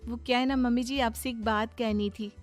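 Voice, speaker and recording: A woman's voice: a little high, fairly even intonation, normal speed, faint music behind, natural voice. A woman voicing a boastful, breezy young daughter-in-law in a cartoon story, chatty and blithely self-excusing. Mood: explaining. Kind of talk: Hindi moral cartoon story acting.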